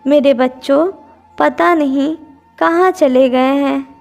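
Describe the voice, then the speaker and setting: Cartoon mother bird, played by a woman: high voice, unhurried, plaintive and pleading for her young, light music underneath. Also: gravelly, a little mellow, exaggerated voice. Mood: sorrowful. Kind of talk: Hindi cartoon story dubbing.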